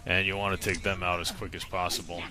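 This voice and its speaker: A man's voice: low-pitched, level tone, fast. A man giving casual, plain, instructional video game walkthrough commentary in an informal way. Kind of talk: casual explanatory gameplay commentary. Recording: voice-over with clear game noise behind.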